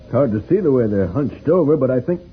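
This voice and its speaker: A man plays a practical, serious leader in a vintage radio adventure, explaining things carefully at a brisk clip. His mid-pitched voice comes through thin and tinny, with slight hiss. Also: lively intonation, natural voice. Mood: uneasy. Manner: cautious, explanatory. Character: Dantro, grave, practical man guiding stranded youngsters. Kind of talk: vintage radio serial acting. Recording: noisy, tinny old radio recording.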